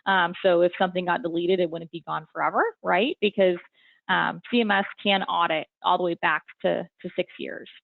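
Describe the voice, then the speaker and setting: Gravelly woman presenting on a tinny line. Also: medium pitch, no echo. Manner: friendly, frequent ums and you-knows. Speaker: webinar presenter and consultant. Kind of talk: casual webinar explanation. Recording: tinny phone-like webinar audio.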